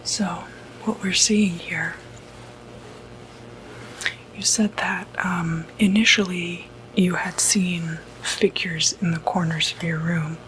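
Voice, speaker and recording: A woman whispering breathily in an ASMR medical roleplay, playing a reassuring doctor with a hesitant, gentle bedside manner. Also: on the low side, slight background noise, low-quality recording. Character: calm, reassuring doctor who treats demonic possession.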